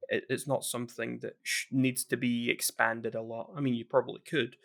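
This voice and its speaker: A man's voice: medium pitch, flat delivery, moderate pace, creaky, a bit bright. A man co-hosting a podcast in casual chat, rambling and often restarting his sentences. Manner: chatty, rambling, frequent restarts. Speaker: podcast co-host.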